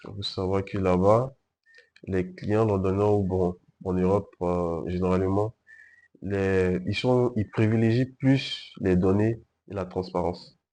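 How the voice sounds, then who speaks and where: A young man from Ivory Coast answers interview questions in a calm, unhurried way. He hesitates often and circles back to finish his thoughts. His voice is on the low side. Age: young adult. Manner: hesitant, calm, frequent fillers and restarts. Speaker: guest entrepreneur.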